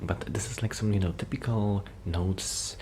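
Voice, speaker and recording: Male, low-voiced, soft ASMR delivery bordering on a whisper; shy, halting roleplay ramble, slightly noisy recording. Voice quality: soft, breathy, often near a whisper. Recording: noticeable background noise.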